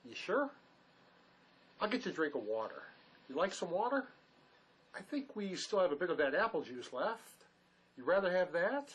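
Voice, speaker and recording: A man's voice: high-pitched, very animated, normal speed, pauses often, husky, full character voice, low-quality recording. An actor playing an anxious, protective male ex-con in a drama, earnest, fussing and full of questions. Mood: concerned. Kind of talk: dramatic script performance. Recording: faint background noise.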